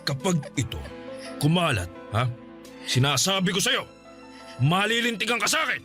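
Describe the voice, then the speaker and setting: A man's voice: high-pitched, very animated, moderate pace, bright, forceful tone, faint music behind, heavily acted. A man playing an abusive, hot-tempered middle-aged Filipino uncle in a radio drama, scolding and barking threats. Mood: menacing.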